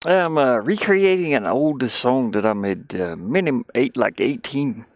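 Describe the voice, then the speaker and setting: Middle aged guy mumbling along on a stream, real slow n gravelly, kinda hesitant. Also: mid-range voice, animated. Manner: hesitant, muttering to himself. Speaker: livestreamer and hobby musician. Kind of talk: casual livestream chat while working.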